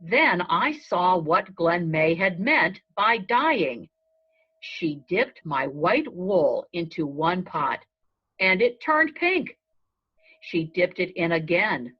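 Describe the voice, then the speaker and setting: Slow, husky woman reading a storybook. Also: on the low side, sing-song. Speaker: storybook reader. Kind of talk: read-aloud narration of a children's story in a goat's first person. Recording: dull lo-fi recording.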